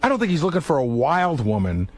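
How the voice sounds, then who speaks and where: Fast-talking man, slightly high voice. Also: wildly swooping pitch. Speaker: radio show staff member. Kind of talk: casual talk radio banter.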